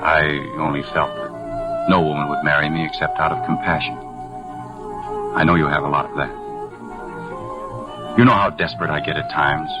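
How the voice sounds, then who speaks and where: An actor playing a despondent young veteran in a 1940s radio drama, halting and earnest as he speaks. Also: a bass voice, full character voice. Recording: muffled vintage radio recording with music behind.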